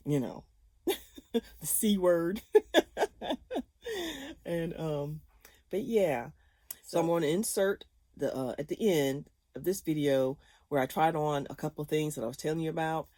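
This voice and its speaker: A woman's voice: deep voice, measured pace, long pauses, warm tone, no room sound, clean studio sound. Chatty laughing woman in her fifties. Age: 50s. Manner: chatty and rambling, laughs often. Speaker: vlogger. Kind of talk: casual chat to viewers.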